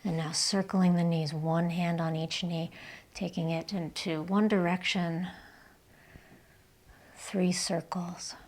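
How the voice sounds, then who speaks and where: A woman leading a guided yoga and relaxation session as the instructor, speaking in a gentle, calm, soothing way with breathy cues. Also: on the low side, slow pace, long pauses. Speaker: yoga instructor and channel host.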